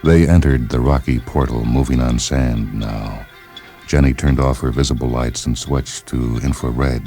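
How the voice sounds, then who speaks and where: A man narrating a science fiction short story in a measured, literary storytelling manner. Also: very deep voice, mellow. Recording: music behind the voice.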